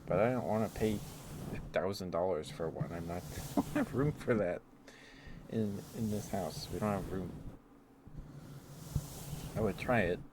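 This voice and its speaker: A man's voice: low-pitched, normal speed, long pauses. A man livestreamer rambling casually in an ASMR chat. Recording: clear background noise.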